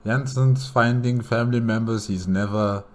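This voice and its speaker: A man's voice: mid-range voice, steady tone, moderate pace. A man hosting a casual solo reaction, musing aloud with emotional investment in what he watches. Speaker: reaction video host.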